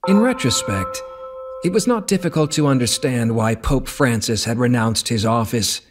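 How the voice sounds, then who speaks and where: A man's voice: medium pitch, expressive, normal speed. A man reading scripted narration from a novel as its narrator. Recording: prominent music under the narration. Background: music.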